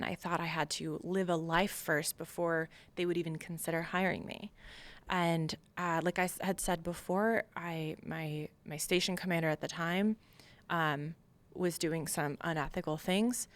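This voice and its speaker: Low-voiced American woman telling her story. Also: steady tone, moderate pace. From Long Island, New York, USA. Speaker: podcast guest, military veteran. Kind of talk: candid personal storytelling in an interview.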